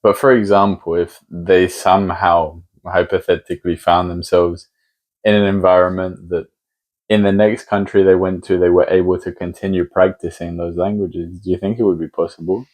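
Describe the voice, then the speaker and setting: A man's voice: low-pitched, little pitch movement, normal speed, darkish tone. A man hosting a podcast, asking long, thoughtful hypothetical questions in a casual conversation. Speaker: podcast host.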